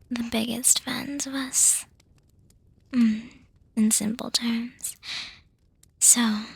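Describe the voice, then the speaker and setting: A very breathy whisper from a woman playing an affectionate young vampire girlfriend in an ASMR roleplay. She speaks extremely slowly and softly, with an even, narrow melody, hesitating with little hums as she gently reassures and teases. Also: a little high, full character voice.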